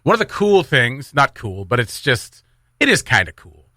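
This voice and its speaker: A man's voice: mid-range voice, sing-song, moderate pace, bright, forceful tone, dry recording, clean studio sound. A man hosting a morning radio show with casual, joking, rambling banter and announcements. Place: radio studio.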